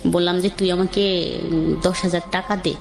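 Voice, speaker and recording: A woman's voice: lowish voice, fairly even intonation, normal speed, vocal fry. A woman with a Bangladeshi accent telling her own life story in a conversational, rambling, colloquial way. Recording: music under the voice.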